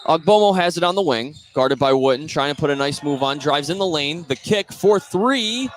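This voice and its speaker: A man doing live basketball play-by-play as the announcer, energetic and lifting his voice on scoring plays. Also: a little high, moderate pace. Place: indoor gym at basketball tournament. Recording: noisy gym ambience behind voice. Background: gym ambience.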